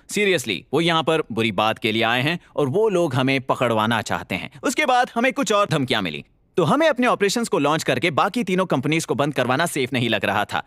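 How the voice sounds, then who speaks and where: A man narrating a scripted video in a playful, animated storytelling manner. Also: on the high side, no room sound, studio quality.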